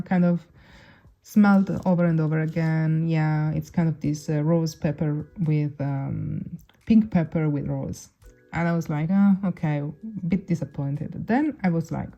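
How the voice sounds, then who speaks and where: Low gravelly woman with Italian native tongue. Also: fairly even intonation, measured pace, mellow. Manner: chatty, restarts and fillers, laughs often. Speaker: perfume reviewer. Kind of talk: casual chat to camera. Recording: dull lo-fi sound.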